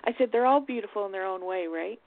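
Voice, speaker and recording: A woman's voice: mid-range voice, fast talker. A woman co-hosting a comedy podcast, chatting casually and teasing her co-hosts amiably. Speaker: co-host of a comedy radio podcast. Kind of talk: casual chat, reacting to co-hosts. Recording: tinny phone-like band-limited sound.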